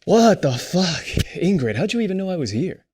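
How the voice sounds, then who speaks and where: An actor playing an irritable, defensive young drug dealer in a screenplay table read, snappy and street-tough with plenty of swearing. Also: male voice, high voice, big pitch swings, moderate pace, noisy background. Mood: annoyed.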